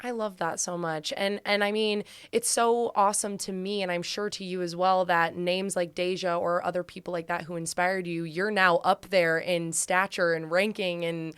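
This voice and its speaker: A woman's voice: fairly low pitch, steady tone, moderate pace, hardly any pauses, fairly bright tone, clear, resonant tone, dry recording. A woman co-hosting a podcast in a studio, chatting in a bubbly, enthusiastic way. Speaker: podcast co-host. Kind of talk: casual podcast chat.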